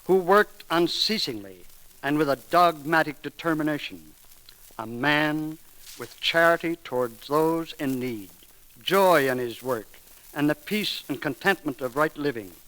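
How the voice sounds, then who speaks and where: A man reading a formal memorial eulogy on 1930s radio, solemn and oratorical with deliberate phrasing. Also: a little high, pushed and bright. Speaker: film studio manager giving eulogy. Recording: crackly 1930s radio broadcast recording.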